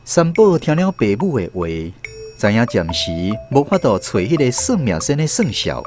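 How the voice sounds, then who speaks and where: A man with a Taiwanese accent narrating a scripted radio drama as an expressive storyteller. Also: mid-range voice, moderate pace, music in the background.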